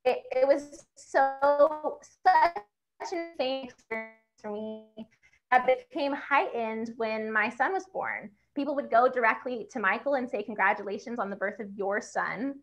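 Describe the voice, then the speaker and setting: A young woman author with a high voice, speaking outspokenly and laughing often in a book event conversation. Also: unhurried, music in the background.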